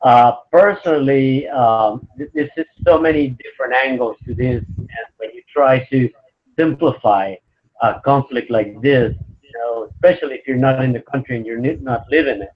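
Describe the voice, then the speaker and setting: A man's voice: mid-range voice, normal speed. Man in his fifties, lo-fi sound. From Venezuela. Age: 50s. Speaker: interview guest. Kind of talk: conversational interview answers.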